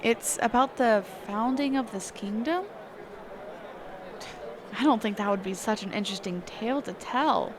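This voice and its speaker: Woman acting a high-voiced, hesitant sheltered mage. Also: noisy background. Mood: flustered, curious. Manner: halting, hesitant, trailing off and self-correcting. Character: sheltered female mage teacher, hesitant, wistful, curious. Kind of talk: one-sided roleplay audio drama, conversational.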